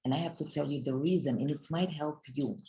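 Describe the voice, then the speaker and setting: A woman's voice: sits low, fairly quick, warm tone, slight background noise, low-quality recording. A middle-aged woman with a Russian accent gives a heartfelt motivational talk on a video call, speaking earnestly and addressing her audience directly.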